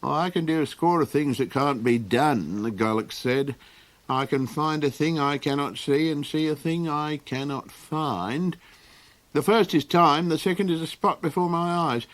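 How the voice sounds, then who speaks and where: A man's voice: fairly high pitch, unhurried, close to an everyday voice. A man voicing a scatterbrained old male Golox creature who rambles and hesitates in an audiobook. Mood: boastful. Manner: rambling, hesitant with many ums. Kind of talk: audiobook character voice.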